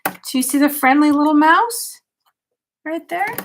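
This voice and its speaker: An older woman, a grandmother, reading a picture book aloud at bedtime, expressively, with gentle questions to a child. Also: sits high, animated, measured pace, slight background noise.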